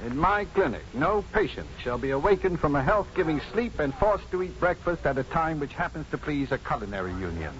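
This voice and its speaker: An actor playing a witty, self-assured clinic doctor in a 1950s radio drama, urbane and authoritative. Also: male voice, a little high, big pitch swings, a little fast, hardly any pauses, a bit bright. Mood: firm. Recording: muffled, noisy 1950s radio recording.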